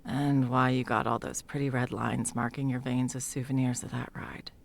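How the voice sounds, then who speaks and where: A woman playing a sardonic, foul-mouthed sound mage in an intimate fantasy audio roleplay, talking sarcastically and emphatically with a creaky edge. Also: extremely low pitch, little pitch movement, moderate pace. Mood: grim, regretful. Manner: sarcastic, emphatic, swearing freely. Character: sardonic, foul-mouthed female sound mage, guiltily helping an old friend.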